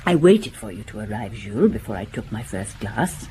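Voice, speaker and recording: An actress playing a police chief's familiar, solicitous female companion in a radio drama, speaking gently. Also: very deep voice, sing-song, moderate pace, low-quality recording. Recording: faint background noise.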